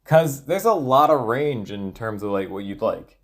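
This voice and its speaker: A man's voice: medium pitch, lively intonation, normal speed. A man thinking aloud in a loose solo monologue, hesitant and often trailing off as he searches for words. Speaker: solo audio log creator.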